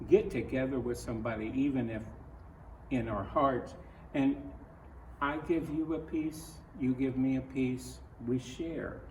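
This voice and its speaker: Man, American accent, children's read-aloud, gentle, earnest, halting phrases, medium pitch, echoey room, faint noise. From Ohio, United States. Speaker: picture-book reader. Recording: roomy echo, distant mic, faint noise.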